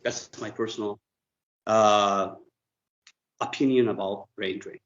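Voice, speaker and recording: A man from Iran, answering interview questions in a thoughtful, halting way with frequent fillers. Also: lowish voice, steady tone, fairly bright tone, muffled recording. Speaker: guest expert. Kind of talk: interview conversation in English.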